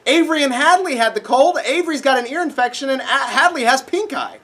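A young man preaching a sermon in a dark auditorium, a little nasal from a cold, animated and acting out voices in his stories. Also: extremely high pitch, a little fast. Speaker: pastor. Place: dark auditorium with projected screen.

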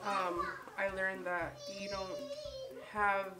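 A woman of twenty-eight talking in a slow, hesitant way as a panel guest. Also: mid-range voice, huge pitch swings, far from the mic, loud music behind the voice. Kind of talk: casual testimony. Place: small wood-paneled studio room.

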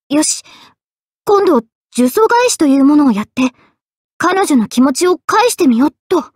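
A woman playing an earnest, polite, quietly self-deprecating young female mage in expressive anime game voice lines. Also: high voice, dry recording, studio quality. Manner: polite, expressive, shifting between excited and subdued.

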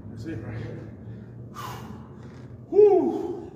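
A man's voice: very high voice, huge pitch swings, very slow, highs cut off, noisy background. A man coaching a workout partner in a casual, laid-back way, explaining each drill step by step. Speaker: fitness coach. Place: large indoor gym. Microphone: far camera. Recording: echoey gym from far off.

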